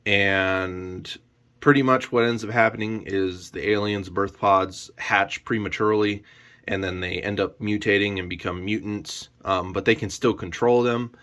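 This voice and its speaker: A man's voice: fairly low pitch, steady tone, unhurried, fairly bright tone. A man talking casually and unscripted as a reviewer in a vlog, rambling along with frequent "you know" hedges. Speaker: YouTube collector reviewer.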